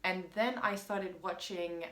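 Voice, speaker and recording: A woman's voice: fairly low pitch, unhurried, sharp tone. A woman whose first language is French, telling a casual personal story in a rambling, chatty way with frequent ums. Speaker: vlogger. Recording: roomy echo.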